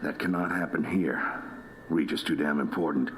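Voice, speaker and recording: A man's voice: very deep voice, moderate pace, a bit bright, a little hiss or hum. A man playing a grave, stern senior military commander in a video game, speaking in a clipped, formal, authoritative way. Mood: stern, urgent. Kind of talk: cinematic video game acting.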